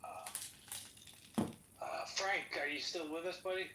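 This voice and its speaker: A man's voice: a little high, big pitch swings, takes it slowly, sharp tone, roomy sound, recorded from a distance. A man hosting an audio room, blunt and casually profane as he calls on the next speaker. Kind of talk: casual chat.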